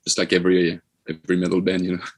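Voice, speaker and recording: A man with a French accent speaks in a fairly low voice. He sounds like a musician being interviewed, chatting casually in English as his second language. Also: monotone, rapid-fire. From France. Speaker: band musician, podcast guest.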